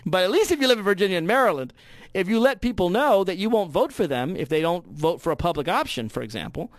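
An American male talk show host with a fairly high voice in a dry, close space. He speaks conversationally but emphatically, building his points with rhetorical turns like a political commentator. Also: dry recording. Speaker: radio call-in show host.

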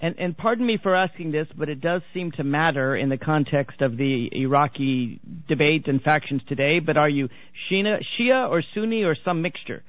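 A man's voice: fairly high pitch, normal speed, few pauses, no echo. A man hosting a radio interview show, talking in a conversational, wry way. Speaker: radio talk show host. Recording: dry, phone-like narrow sound.

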